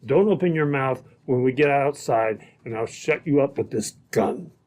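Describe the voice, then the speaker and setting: A man's voice: medium pitch, animated, moderate pace, darkish tone, close to an everyday voice. A man playing a menacing, impatient gold robber in an old-time radio adventure, with a bossy, threatening way of talking. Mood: menacing, curt.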